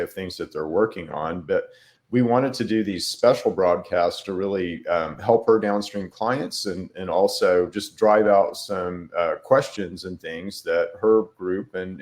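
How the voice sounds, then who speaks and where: A man hosting a health podcast, speaking in a halting, casual way with frequent restarts. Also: sits low. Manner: halting, lots of fillers and restarts. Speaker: podcast host.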